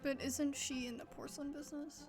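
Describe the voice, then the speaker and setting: A woman's voice: high voice, level tone, a little fast, darkish tone. A woman gamer giving casual playthrough commentary, reacting to a story as she plays. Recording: game music behind the voice.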